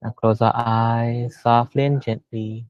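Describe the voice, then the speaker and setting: A man leading a guided meditation as a teacher, speaking gently and unhurriedly with long pauses. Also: medium pitch, level tone, dry recording, dull audio.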